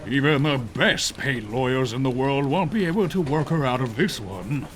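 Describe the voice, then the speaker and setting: A man's voice: mid-range voice, expressive, normal speed, a bit bright, lightly acted. A man playing an authoritative, emphatic police chief in an audio drama. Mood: approving, firm.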